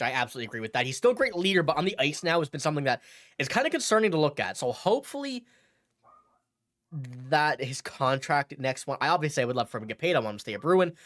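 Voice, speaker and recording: A man's voice: mid-range voice, fairly bright tone, no echo, studio quality. A man hosting a casual livestream, chatting and answering viewer comments. He is a bit sick, so he sounds slightly nasal. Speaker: sports channel host.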